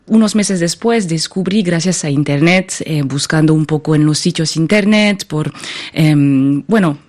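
A woman's voice: low voice, unhurried, darkish tone. A French woman speaking as an interview guest with a French accent, thoughtful and often searching for words. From France. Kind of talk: conversational interview.

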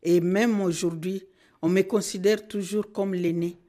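A middle-aged woman from Congo, a Kinande speaker, answering in a radio interview in an earnest, measured way. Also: on the low side, steady tone, mellow. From Democratic Republic of the Congo. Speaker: social worker and guest.

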